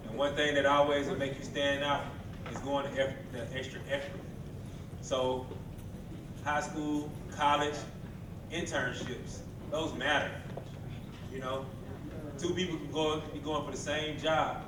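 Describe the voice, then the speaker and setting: An earnest man gives students motivational career advice. A rumbling background noise sits under his voice, which is picked up far away in an echoey hall. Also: little pitch movement, measured pace, long pauses. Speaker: alumni panelist. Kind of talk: advising students at a career panel.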